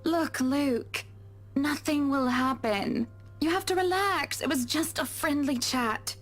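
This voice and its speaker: A woman playing a high-voiced exasperated wife. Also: slow, faint music behind. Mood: placating. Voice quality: exasperated, pointed. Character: frustrated young wife. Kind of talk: voicing a visual novel character.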